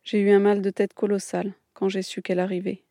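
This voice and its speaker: A woman reading a scripted documentary voice-over in a measured, reflective way. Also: medium pitch, monotone, mellow, no room sound, clean studio sound. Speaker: documentary narrator.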